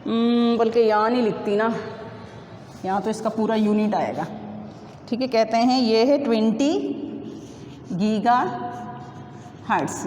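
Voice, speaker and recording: A woman's voice: on the high side, dark tone. Woman teacher in an echoey, reverberant room. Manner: instructive, poses questions then answers them herself; mixes Hindi-Urdu with English technical terms. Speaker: physics teacher. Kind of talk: step-by-step classroom lesson working through numericals. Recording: echoey reverberant room, clear background noise.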